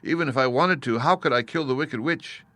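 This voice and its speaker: A man's voice: fairly quick, pushed and bright, no room sound, close to an everyday voice. A man voicing a frightened little girl who pleads through tears. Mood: tearful. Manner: tearful, pleading, higher-pitched. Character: young girl, weepy and frightened.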